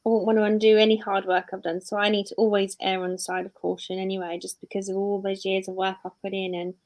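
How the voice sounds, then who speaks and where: A woman's voice: mid-range voice, level tone, fast, a little mellow. A British woman in her thirties hosting a live stream, talking in a rambling way and often correcting herself. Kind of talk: casual live-stream chat.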